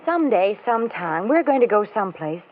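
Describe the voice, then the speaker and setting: An actress playing a quick-witted, inquisitive woman companion in a 1940s radio drama, arch and concerned. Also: mid-range voice, very animated, natural voice. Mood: playful, curious. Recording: hissy, tinny old radio recording.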